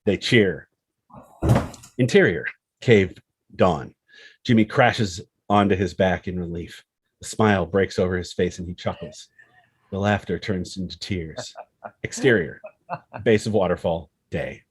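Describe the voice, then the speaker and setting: A man's voice: deep voice, measured pace, pauses often, husky, no echo. A man narrating a screenplay, reading out scene headings and action descriptions as scripted storytelling.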